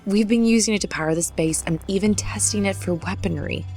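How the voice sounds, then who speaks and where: A woman playing a stern, formal army general with a measured, authoritative manner in an amateur audio drama. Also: medium pitch, a little mellow, faint music behind, close to an everyday voice. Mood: stern, businesslike. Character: stern female army general at a secret base.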